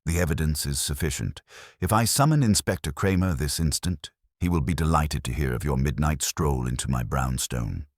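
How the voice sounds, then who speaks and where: A man voicing a stern, imperious detective who speaks formally and deliberately in an audiobook mystery. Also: very deep voice, dry recording, studio quality. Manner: formal, deliberate, pronouncing judgment. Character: stern, imperious male genius detective, coldly accusing.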